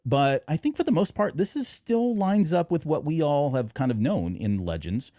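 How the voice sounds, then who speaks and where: A man's voice: on the high side, sing-song, normal speed, warm tone, no echo, studio quality. A middle-aged man hosting a podcast, chatting casually and informally, often doubling back on the phrasing. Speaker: podcast host.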